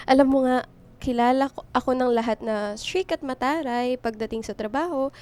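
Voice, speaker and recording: A woman's voice: high voice, normal speed, darkish tone, smooth, close to an everyday voice. A woman playing a strict, hardworking young career woman and girlfriend in a Filipino radio drama, with a Filipino accent. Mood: soft, affectionate.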